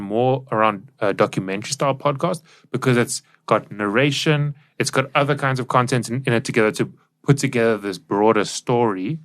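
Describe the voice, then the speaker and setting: A man's voice: lowish voice, a bit bright. A man hosting a podcast and discussing things analytically. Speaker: podcast host.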